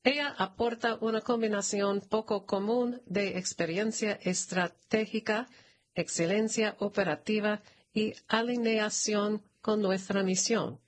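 A woman narrator reads a prepared announcement at a slow, deliberate pace. Her pitch sits in a middle range for a female voice, and her delivery is formal and measured throughout. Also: fairly even intonation. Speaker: narrator reading a press release. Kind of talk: scripted read-aloud news announcement.

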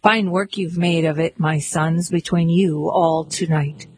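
A man's voice: on the high side, steady tone, a little slow, darkish tone, slight background noise, lightly acted. A woman reading a poem, speaking the lines of a distraught old father who scolds his sons. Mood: dismayed.

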